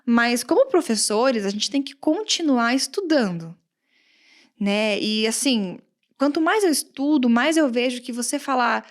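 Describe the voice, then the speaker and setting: A Brazilian woman with a countryside accent, speaking as a guest on a relaxed podcast. Her voice sits a little high, and she talks in an animated way, laughing often and acting out the comments she quotes. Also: unhurried. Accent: Brazilian Portuguese, countryside. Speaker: guest, English teacher. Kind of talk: casual podcast conversation.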